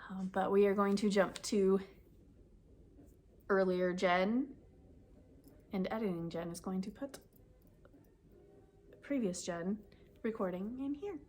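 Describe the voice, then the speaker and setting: A woman's voice: medium pitch, slow pace, pauses often, darkish tone, a little hiss or hum. A woman vlogging casually about knitting, sniffly from the cold, chatting in a rambling way that corrects itself as she goes. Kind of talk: casual chat to camera.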